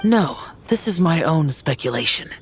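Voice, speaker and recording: A woman voicing a reserved young male demon slayer in a video game, terse, formal and deadpan. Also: low voice, moderate pace, slight background noise. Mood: puzzled, dry.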